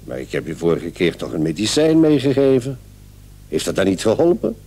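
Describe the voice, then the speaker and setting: Brisk bossy male doctor, soft dark husky tone, swingy delivery, radio drama. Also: low-pitched, big pitch swings, heavily acted. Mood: brisk, instructive. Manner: authoritative, patronising. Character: brisk, paternal male doctor, dismissive yet reassuring.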